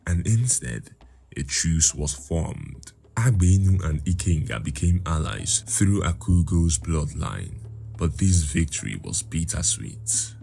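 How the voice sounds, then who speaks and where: Very deep, slow dramatic male storyteller. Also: vocal fry, mellow. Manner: measured, dramatic. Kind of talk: scripted dramatic folktale narration.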